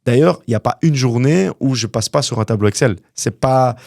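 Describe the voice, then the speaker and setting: A young man from Canada, a guest entrepreneur telling his story in a casual interview, animated and full of fillers. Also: medium pitch, fairly quick, vocal fry, dry recording, studio quality. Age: young adult.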